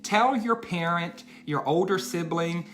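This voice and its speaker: A man hosting a read-aloud for children, speaking directly to young listeners with deliberate, emphatic phrasing. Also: high voice, fairly bright tone, faint music behind.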